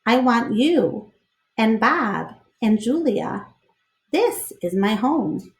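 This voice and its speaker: A woman's voice: fairly high pitch, very animated, very slow, roomy sound, full character voice. A woman voicing an innocent, questioning young female elephant in a read-aloud storybook. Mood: pleading.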